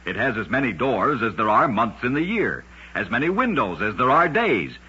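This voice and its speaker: Gritty guy telling stories on old radio, punchy sharp voice, muffled lo-fi sound. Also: medium pitch, very animated, moderate pace, breathy edge. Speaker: radio host and narrator. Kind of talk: scripted vintage radio narration. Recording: dull lo-fi old radio recording.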